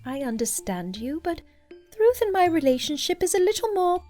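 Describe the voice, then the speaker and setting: A woman's voice: very high-pitched, big pitch swings, normal speed, faint music behind. Woman playing a poised, teasing mature goddess. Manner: poised, gently teasing, lofty. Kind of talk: fan audio drama acting.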